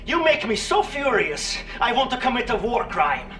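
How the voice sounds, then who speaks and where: An actor playing an exasperated, blame-shifting Soviet commander, a petulant and fuming video game villain. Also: male voice, very high voice, big pitch swings, moderate pace, fairly bright tone, far from the mic, soft background music, heavily acted. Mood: furious.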